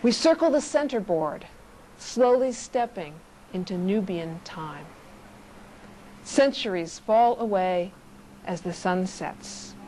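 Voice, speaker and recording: A woman reading poetry in a measured, reflective way, in short phrases. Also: medium pitch, lively intonation, warm tone, slight background noise, poor audio. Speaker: poet reading own work.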